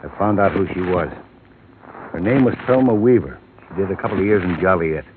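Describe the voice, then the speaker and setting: An actor playing a gruff, reluctant confidant in a 1950s radio crime drama, blunt and conversational while holding back. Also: male voice, low voice, fairly quick, natural voice. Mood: reluctant, cautioning. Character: gruff, reluctant friend of a dead policeman. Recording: muffled, noisy old radio recording.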